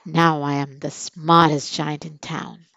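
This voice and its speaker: A kind, scruffy storybook giant, acted out by a woman reader, hearty and eager to help. He speaks in unhurried phrases with cheerful good nature. The recording is dry and a touch muffled. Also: low-pitched, little pitch movement, vocal fry, fairly bright tone, no room sound, pitched below the performer's own voice, full character voice. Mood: proud.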